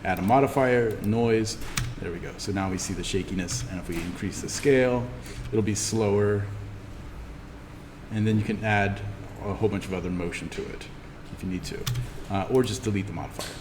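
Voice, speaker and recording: A man teaching an introductory animation class, talking informally through software steps and thinking aloud as he demonstrates. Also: on the low side, normal speed. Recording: steady noise behind the voice.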